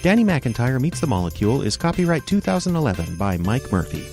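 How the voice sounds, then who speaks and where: A man's voice: medium pitch, very animated, fast talker, a little mellow, soft background music. A man reading scripted narration and announcements for a children's radio play.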